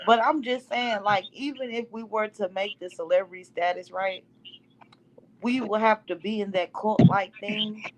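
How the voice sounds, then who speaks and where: A woman hosting an online call-in chat, casual and quick to agree with her guests. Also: medium pitch, fairly even intonation, slight background noise, poor audio. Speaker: host of a call-in talk show.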